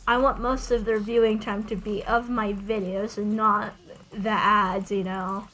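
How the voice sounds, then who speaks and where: A woman's voice: mid-range voice, little pitch movement, a little slow, bright, forceful tone, rough recording. A woman livestreaming a video game, chatting casually to herself and her viewers in a playful, self-deprecating way. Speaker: streamer, video game player. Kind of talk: casual gaming livestream chat.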